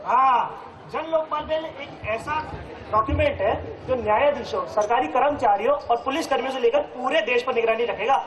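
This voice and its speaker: A man's voice: extremely high pitch, normal speed, a crowd in the background. A man playing a blustering, exasperated middle-aged father in a comic stage play, projecting his opinions and blame. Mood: opinionated, enthused. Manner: opinionated, blaming, projecting to an audience. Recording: echoey hall with audience noise.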